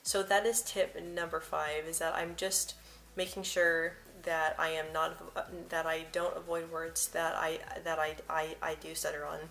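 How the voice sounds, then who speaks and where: A woman's voice: low voice, flat delivery, normal speed, creaky, fairly bright tone. A young woman vlogger who stutters, often repeating words and first sounds as she talks to camera and shares personal tips.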